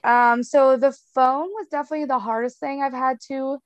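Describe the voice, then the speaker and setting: A young woman speaks casually as a podcast guest, with a clear stutter that repeats sounds and blocks on words. She talks frankly and chattily, full of fillers, in a fairly high voice. The recording is dry and close. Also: fairly even intonation, normal speed, no echo, dull audio. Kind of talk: casual conversational interview.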